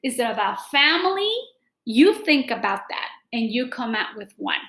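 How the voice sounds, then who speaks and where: A middle-aged woman reading aloud to students as a teacher, explaining deliberately and addressing them directly in a room at home. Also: a little high, sing-song, fairly bright tone, roomy sound. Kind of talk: read-aloud lesson with simple explanations. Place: small room at home, indoors. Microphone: laptop or webcam microphone at desk distance.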